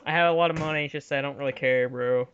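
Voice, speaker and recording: A man's voice: medium pitch, steady tone, fast, a bit bright, clean tone. A young man hosting a casual fan movie commentary, rambling and giving his opinions in an offhand chat. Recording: slightly dull lo-fi home recording.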